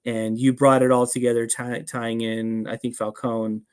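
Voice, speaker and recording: Male podcast guest, mid-pitched, informal and self-effacing, appreciative in casual interview conversation. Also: level tone, moderate pace, clean tone. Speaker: podcast guest, fan audio drama producer.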